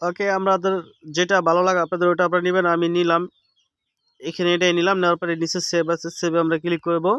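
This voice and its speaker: Man, high voice, even intonation, clean smooth sound, instructional, casual tutorial narration. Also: fast talker, no echo, studio quality. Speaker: app tutorial host.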